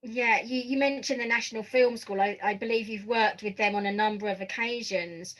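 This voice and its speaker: A woman hosting a conversational podcast interview, putting her questions in a softened, tentative way. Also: fairly high pitch, fairly even intonation, normal speed, poor audio.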